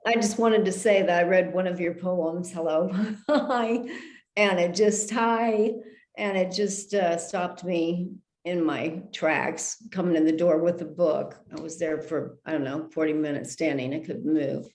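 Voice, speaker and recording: Lowish-voiced woman in an echoey room. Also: steady tone, unhurried, darkish tone. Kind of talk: casual spoken praise.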